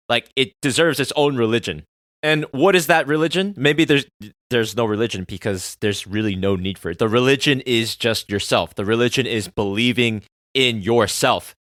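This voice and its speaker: Podcast host, man in his thirties, Korean native speaker, earnest and excitable, dry close-miked sound. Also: mid-range voice, normal speed, dry recording. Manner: earnest, excitable, swings from soft musing to loud swearing. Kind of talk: solo podcast monologue.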